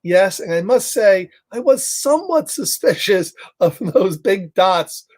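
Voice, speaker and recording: A man's voice: high-pitched, very animated, a little slow, full character voice. A man voicing an earnest, fussy, self-important goose in a children's picture book. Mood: admitting.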